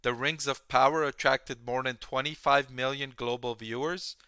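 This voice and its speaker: Bright, clear-voiced man explaining finance. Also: mid-range voice, fairly even intonation, moderate pace, no room sound. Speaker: host, stock analyst. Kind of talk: explanatory financial commentary to viewers.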